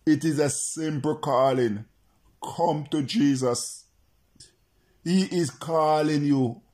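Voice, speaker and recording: A man's voice: on the high side, slow, a little mellow. A male preacher praying and preaching to remote listeners, repeating his phrases in an insistent, chant-like cadence.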